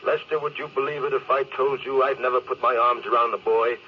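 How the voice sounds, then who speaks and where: A man's voice: mid-range voice, steady tone, brisk, lightly acted. Man acting tormented spaceship captain, old noisy radio. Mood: bitter regret. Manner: earnest, emotional, confessional. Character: regretful, tormented middle-aged spaceship captain facing death. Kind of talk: 1950s radio drama acting. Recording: noisy, muffled vintage radio recording.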